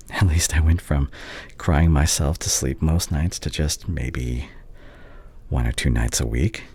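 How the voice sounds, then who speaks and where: Male voice actor as remorseful doctor ex-boyfriend, low-pitched, husky, halting, tender, confessional ASMR roleplay. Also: dry recording, heavily acted. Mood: wry, sheepish. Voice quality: breathy, hushed, often dropping into whispers. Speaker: audio roleplay performer.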